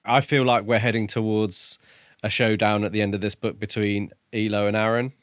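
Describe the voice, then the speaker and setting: A man's voice: fairly low pitch, level tone, normal speed, no room sound. A man co-hosting a casual book discussion podcast, talking with dry, wry humour. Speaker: podcast co-host.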